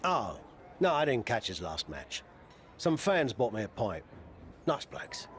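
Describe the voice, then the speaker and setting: An actor playing a boisterous, roguish wrestling coach in a video game cutscene, jovial, teasing and swaggering. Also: male voice, medium pitch, very animated, a little slow, a bit bright, a little hiss or hum. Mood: breezy, casual. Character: boisterous, roguish older male wrestling coach, cheerfully tipsy.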